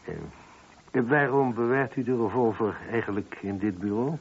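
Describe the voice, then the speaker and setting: An actor playing a probing, sceptical police inspector in a Dutch radio play, questioning in a measured, dryly insistent way. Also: male voice, medium pitch, breathy edge. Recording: muffled, band-limited old radio recording.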